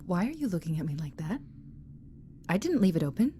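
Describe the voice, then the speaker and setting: An actress playing a high-strung young mother in an audio drama, pointed and questioning with her husband. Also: big pitch swings, brisk, warm tone, a little hiss or hum. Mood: accusing, tense. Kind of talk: naturalistic audio drama acting.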